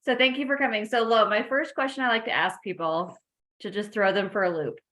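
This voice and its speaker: A woman hosts an interview with a bright, sharp tone. She sounds enthusiastic and casual, greeting her guest warmly. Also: medium pitch, brisk. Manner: enthusiastic, gushing. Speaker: interview host.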